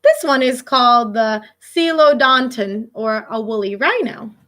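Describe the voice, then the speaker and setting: A woman teacher reading a children's book aloud in an expressive, emphatic way, adding asides and questions for her young listeners. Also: on the high side, measured pace.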